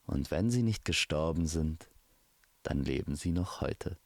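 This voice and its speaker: A man tells a fairy tale in a very low voice, taking his time over each phrase. His delivery has a creaky, gravelly edge, and he speaks to the listener like a close friend. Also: lively intonation, unhurried, no room sound. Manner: addresses the listener intimately as a friend. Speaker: storyteller. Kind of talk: scripted fairy-tale narration for an audio story.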